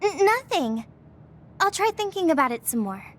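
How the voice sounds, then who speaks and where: An actress playing a shy, devoted young soldier in a video game, sincere and bashful. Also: female voice, extremely high pitch, sing-song, normal speed. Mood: hesitant, shy. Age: young adult. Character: earnest young female soldier, shy, devoted childhood friend. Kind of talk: English video game voice acting.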